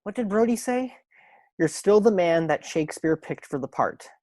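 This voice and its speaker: A man's voice: sits high, lively intonation, normal speed, darkish tone, no room sound. A man playing an anxious young actor who takes women's parts in an audio play, nervous and theatrical. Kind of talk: stage-style audio drama acting. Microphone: video call recording.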